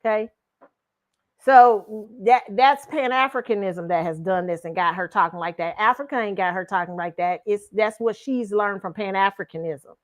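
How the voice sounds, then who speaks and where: A woman's voice: mid-range voice, normal speed. A woman hosting her own commentary show, reacting to a clip bluntly and emphatically. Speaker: show host giving commentary.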